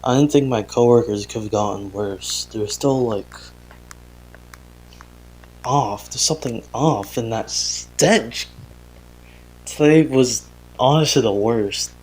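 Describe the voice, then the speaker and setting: A man playing an earnest young father recording a confessional audio diary in a horror drama, speaking haltingly and hesitantly. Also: mid-range voice, a little mellow, slight background noise, natural voice, low-quality recording. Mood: uneasy, shaken. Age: young adult. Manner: halting, hesitant, sighing, self-interrupting.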